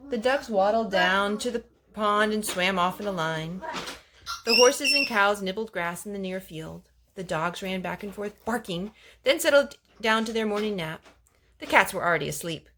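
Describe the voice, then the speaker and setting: A woman reading a children's story aloud in an expressive, animated way, with gentle asides to young listeners. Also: medium pitch, background noise.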